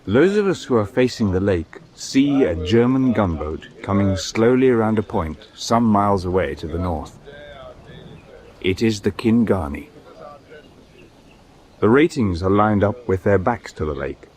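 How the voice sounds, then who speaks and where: A man playing an eyewitness narrator in a scripted radio drama, measured and precise. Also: lowish voice, animated, a little mellow. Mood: composed, watchful. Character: observant eyewitness narrator of naval expedition.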